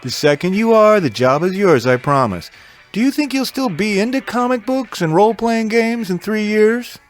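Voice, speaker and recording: A man plays a friendly shop owner in an audio drama, chatty and encouraging. His voice sits high and swings widely in pitch, with expressive delivery over background music. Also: normal speed. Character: friendly adult male game-store owner, encouraging. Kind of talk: audio drama character dialogue.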